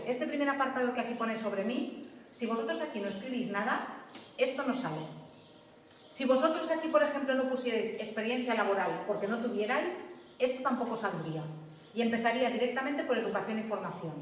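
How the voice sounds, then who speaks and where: A woman's voice: a little high, slight background noise. Lady teacher explaining stuff to her class, keeps asking if they get it, sounds far off in a big echoey room. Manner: explanatory, checks with students often. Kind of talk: classroom lecture.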